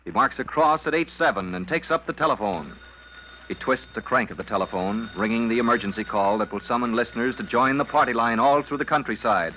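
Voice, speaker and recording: A man's voice: mid-range voice, a little fast. Muffled male radio serial narrator. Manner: dramatic, storytelling. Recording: muffled old radio recording, faint noise.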